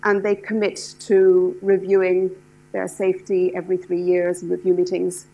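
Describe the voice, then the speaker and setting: A middle-aged woman from Ireland with an Irish accent, lecturing a class in a hall, talking fast and eagerly with many fillers and restarts. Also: on the low side, completely flat delivery, gravelly, mellow, slight background noise. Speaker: lecturer, standards specialist. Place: lecture hall with seated audience.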